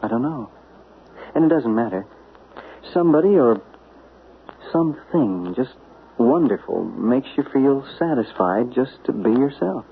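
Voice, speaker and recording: An actor playing a calm male literary agent in a 1970s radio drama, speaking earnestly and haltingly. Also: mid-range voice, creaky, slight background noise. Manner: earnest, soft-spoken, halting. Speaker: Dick Harrison, a literary agent. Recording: tinny, lo-fi old radio broadcast.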